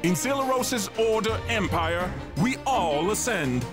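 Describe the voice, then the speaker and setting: Man acting a high-pitched, grandiose empire herald. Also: animated, measured pace, over music. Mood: triumphant. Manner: grandiose, declamatory. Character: pompous, loyal propaganda herald of an empire. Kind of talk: scripted game news announcement.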